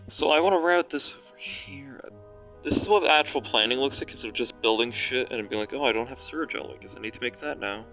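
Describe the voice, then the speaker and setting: Raspy young man thinking aloud. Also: medium pitch, brisk, pushed and bright, soft background music. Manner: rambling, self-mocking, thinks aloud. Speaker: gaming commentator. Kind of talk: casual gameplay commentary.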